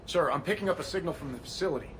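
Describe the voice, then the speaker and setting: A man's voice: on the high side, expressive, fairly bright tone, a little hiss or hum. An actor playing a professional male pilot reporting during a flight. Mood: alert. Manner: brisk, professional.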